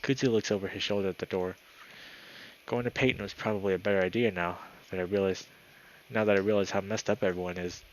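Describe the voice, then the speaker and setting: A man's voice: deep voice, fairly even intonation, fast, low-quality recording. A man hosting a gaming video, reading a visual novel aloud with commentary and correcting himself when he stumbles over lines.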